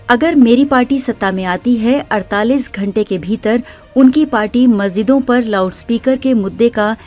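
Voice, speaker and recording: A woman's voice: on the high side, darkish tone. A woman delivering a scripted news voice-over in a formal, declarative manner. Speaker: news narrator. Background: background music.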